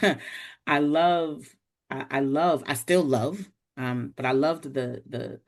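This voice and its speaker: A woman's voice: very deep voice, slow. A woman in her forties, a podcast guest, telling a personal story in a casual chat and acting out what people said. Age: 40s. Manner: animated, acts out quoted voices.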